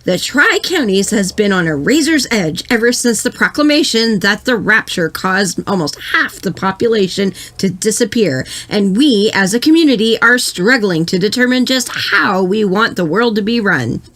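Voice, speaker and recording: Dry, lively female news co-anchor acted by a woman. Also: medium pitch, moderate pace, hardly any pauses. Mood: earnest, critical. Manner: dry, earnest, articulate. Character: dry, long-suffering, principled female local news co-anchor. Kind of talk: mock local news broadcast.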